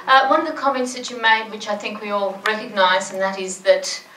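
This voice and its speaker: A woman's voice: mid-range voice, fairly even intonation, fairly quick, background noise. A woman, earnestly urging action from the floor of a forum. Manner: earnest and organizing, with some restarts. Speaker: audience member. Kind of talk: comment from the floor. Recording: echoey hall from a distance.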